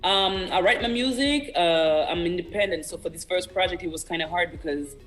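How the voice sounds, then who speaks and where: A man's voice: sits high, a little fast, fairly bright tone, soft background music, rough recording. Young French-speaking man as a radio guest. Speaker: independent singer-songwriter, interview guest. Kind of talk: casual radio interview talk.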